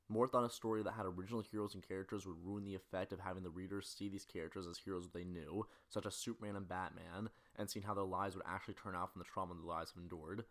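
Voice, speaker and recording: Fast-talking young man, very deep voice. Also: a bit bright. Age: early 20s. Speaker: video essay narrator. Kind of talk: scripted video essay narration, film critique.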